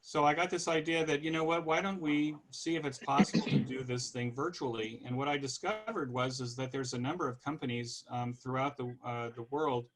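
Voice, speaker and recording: A man over fifty with a North American accent, giving an informal explanatory presentation in a halting way, pausing to search for words. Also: medium pitch, low-quality recording. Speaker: podcast host giving a club presentation.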